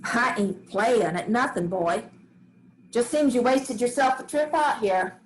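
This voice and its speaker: A woman reads a hard-bitten farm matriarch in a fairly high voice. She answers in short, curt lines full of scorn. She is defiant and will not give an inch in her own home. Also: measured pace, lightly acted, rough recording. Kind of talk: staged play reading.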